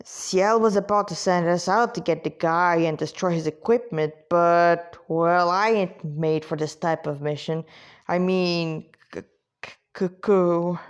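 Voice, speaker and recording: A woman's voice: on the low side, a little slow, smooth, voice pushed down, lightly acted. A woman voicing an earnest, bashful male android guard who talks deferentially and hesitantly, in an amateur story reading. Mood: sheepish.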